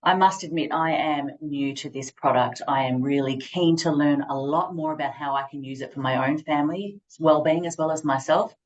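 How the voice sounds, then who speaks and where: Woman, low voice, enthusiastic host, video call. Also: muffled recording, slight background noise. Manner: enthusiastic, fast-talking. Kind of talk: hosting a video call interview.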